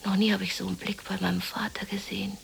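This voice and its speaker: Radio play lead: lonely, despondent woman, halting half-whispered monologue with a gravelly fry, faint background noise. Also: mid-range voice, flat delivery. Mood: haunted, remembering. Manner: halting, breathy half-whisper, musing to herself. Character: lonely, depressed unemployed woman, brooding and dreamy.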